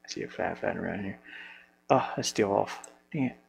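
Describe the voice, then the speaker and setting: A man's voice: on the low side, moderate pace. A man casually thinking aloud to himself in short fragments as he works at a computer. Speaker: game developer narrating own work. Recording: clearly noisy with hum and clicking.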